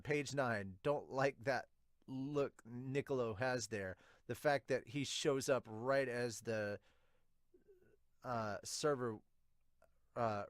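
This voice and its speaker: A man's voice: medium pitch, a bit bright, no echo, clean studio sound. A man hosting a casual manga review podcast, speaking bluntly with some mild swearing and reading comments aloud. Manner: blunt, mildly profane.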